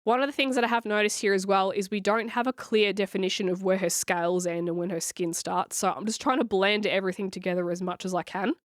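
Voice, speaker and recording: A woman, her voice hoarse and scratchy from a cold, guides viewers through a coloring tutorial. She talks in a chatty, reassuring way, and the sound is dry and close with no room echo. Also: medium pitch, fairly even intonation, quick pace, hardly any pauses. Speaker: coloring channel host.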